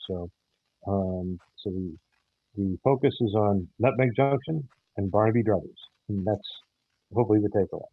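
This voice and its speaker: A man answering interview questions about a radio show, speaking in a halting way with repeated words. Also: fairly low pitch, husky, dry recording. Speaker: audio theater director and producer, guest. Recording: muffled, phone-like, narrow-band line.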